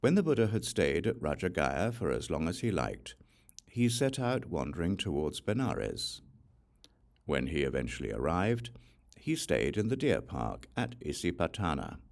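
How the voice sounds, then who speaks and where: Male narrator for religious audiobook; gravelly, lively intonation, deliberate scripted delivery. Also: low voice. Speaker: audiobook reader.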